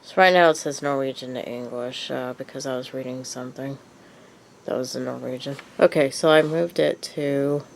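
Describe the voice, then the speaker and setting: Low, slow, gravelly woman with a cold. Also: a little hiss or hum. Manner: hesitant, lots of ums and uhs. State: has a cold, sniffly. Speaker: vlogger. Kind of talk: casual show-and-tell vlog.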